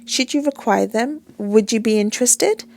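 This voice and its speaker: A woman's voice: on the high side, vocal fry, a little mellow. A woman voicing a polite, helpful female flight attendant in a storybook reading. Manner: courteous, helpful, brisk.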